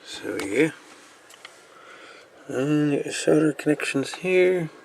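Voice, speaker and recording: A man's voice: fairly high pitch, expressive, takes it slowly, vocal fry, a little mellow, slight background noise. Folksy man demonstrating gear, casual. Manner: folksy, colloquial. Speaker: video host reviewing and repairing gear. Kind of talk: casual hands-on demonstration talk. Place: indoor workbench.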